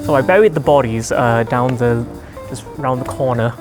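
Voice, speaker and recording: A comedian from Singapore, a man, chatting as an interview guest with a quick, jokey manner and deadpan asides, recorded outdoors on a rooftop. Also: mid-range voice, music in the background. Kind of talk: casual interview chat.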